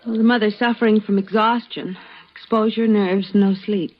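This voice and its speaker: A woman's voice: medium pitch, steady tone, moderate pace, lightly acted. An actress playing a determined frontier woman doctor in an old-time radio western, plain-spoken and earnest. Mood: grave, composed. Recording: dull, slightly noisy old radio recording.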